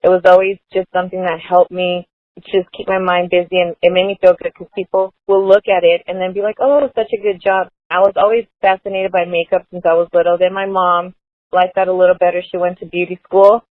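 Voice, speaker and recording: A woman's voice: lowish voice, level tone, fairly quick, no echo. A woman from Los Angeles, a guest who tells her life story in an open, earnest way. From Los Angeles, United States. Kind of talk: casual conversation. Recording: dry, phone-like narrow sound.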